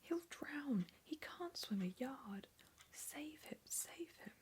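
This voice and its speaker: A woman's voice: fairly high pitch, animated, moderate pace, darkish tone, slight background noise, pitched above the performer's own voice. A woman whispering a frantic shrieking mother. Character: frantic mother, shrill and scolding. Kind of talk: whispered storybook reading.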